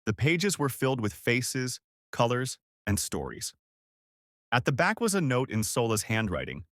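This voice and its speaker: Male storyteller, lively pitch, dry sound. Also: moderate pace, no room sound. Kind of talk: scripted story narration.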